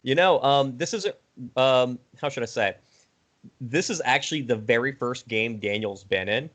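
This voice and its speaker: A man's voice: mid-range voice, moderate pace, fairly bright tone. A man hosting a casual interview, talking informally with a fan's enthusiasm.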